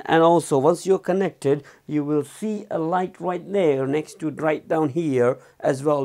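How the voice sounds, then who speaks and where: Male product reviewer, fairly high voice, crackly fry, casual walkthrough, rephrases often. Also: darkish tone. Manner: repeats and rephrases as he explains. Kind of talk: casual unscripted product demonstration. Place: small indoor room at a desk.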